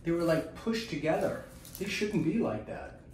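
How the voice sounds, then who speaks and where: A fairly high-voiced man talks someone through a hands-on treatment. He gives short instructions, checks in and reassures. He sounds a little way from the mic, with clear noise behind him. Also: animated, normal speed, a little mellow. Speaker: chiropractor and video host. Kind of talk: casual talk with a patient during treatment. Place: small indoor treatment room. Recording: roomy, distant mic with clear background noise.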